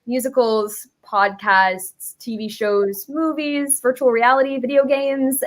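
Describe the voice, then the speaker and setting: A woman's voice: fairly high pitch, a bit bright. A young adult woman chatting casually as an interview guest, explaining her creative work in a giggly, slangy, playful way.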